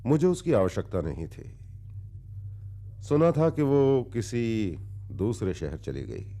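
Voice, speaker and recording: A world-weary philosophical man in a radio play, recounting his past. His voice is low and dark, and he moves slowly and deliberately through formal phrases, pausing long, with very animated pitch swings. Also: full character voice. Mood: brooding, wistful. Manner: brooding, deliberate, with long pauses. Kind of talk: dramatic radio-play storytelling in formal literary diction.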